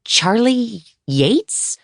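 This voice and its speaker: An eager, incredulous young woman screenwriter as a female narrator plays her speaks in punchy, emphatic phrases. The pitch is lifted, and the audiobook sound is dry. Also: sing-song, very slow, gravelly, no room sound, full character voice. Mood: stunned, awed.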